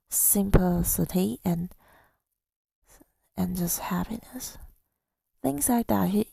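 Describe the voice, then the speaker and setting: A woman speaks softly and slowly in a lowish voice, heavy with fry. She tells her story in a gentle ASMR manner with a breathy, whispery edge, very close and dry on the microphone. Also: no echo. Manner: gentle, unhurried, partly whispered. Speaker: ASMR audio creator. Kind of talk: soft-spoken ASMR storytelling.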